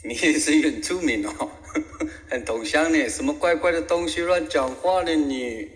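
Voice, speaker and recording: A man's voice: fairly high pitch, moderate pace, long reverb tail, lightly acted. A man voicing a cheeky, feisty old grandma tourist with a Taiwanese accent in an audio comedy. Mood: defensive, indignant. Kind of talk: audio comedy radio drama acting.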